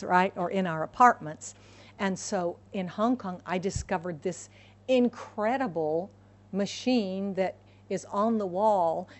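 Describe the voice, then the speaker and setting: A woman from the United States preaching a sermon, emphatic and repeating her key phrases for the congregation. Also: mid-range voice. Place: church in Hong Kong.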